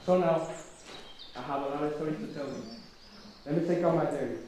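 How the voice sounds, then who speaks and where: A man's voice: fairly high pitch, fairly quick, dark tone, close to an everyday voice. A man playing a kindly old forest king who tells stories in a puppet show. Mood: reminiscing. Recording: very echoey room, distant mic, faint noise.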